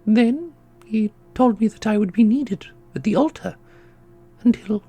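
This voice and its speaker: A woman playing an ancient female custodian in a fantasy audio drama, dignified and sorrowful, speaking in a formal, measured, gentle way. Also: on the high side, breathy edge, faint music behind. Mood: sorrowful, solemn.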